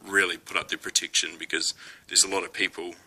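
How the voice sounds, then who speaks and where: A man's voice: deep voice, level tone, quick pace, sharp tone, rough recording. A young man with a New Zealand accent talks casually to camera, hesitant, with many ums and restarts. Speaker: spiritual vlogger.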